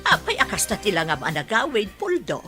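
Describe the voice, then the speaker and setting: A woman's voice: mid-range voice, wildly swooping pitch, fairly quick, over music. A woman playing a regretful middle-aged mother in a radio drama, speaking in a remorseful, pleading manner. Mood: anxious.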